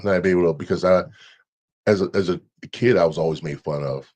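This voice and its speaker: A man with a deep voice, a podcast guest talking in a relaxed, halting way, with many restarts and repeated words. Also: husky. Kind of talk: casual conversation.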